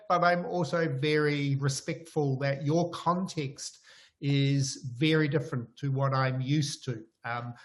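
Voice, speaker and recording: A middle-aged or older man with a New Zealand accent giving a conversational webinar lecture as an invited professor. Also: a little high. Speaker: professor, invited keynote speaker.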